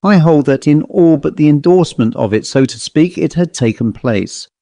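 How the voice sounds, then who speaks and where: Guy doing a calm gent from a ghost story, thinky and deliberate, mellow, dry sound. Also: on the high side, no echo. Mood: philosophical, assured. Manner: deliberate, reasoning, articulate. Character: calm, philosophical gentleman recounting an uncanny experience.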